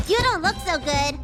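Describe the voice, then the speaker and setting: A bold, energetic little girl hero from a video game. Her voice sits very high and leaps around freely, with measured pacing. Loud music plays underneath. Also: exaggerated voice.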